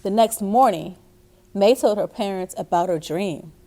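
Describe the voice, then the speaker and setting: A woman reading a children's story aloud in an expressive way, speaking directly to young listeners. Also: mid-range voice, measured pace. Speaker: story time reader. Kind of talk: reading a children's picture book aloud with asides.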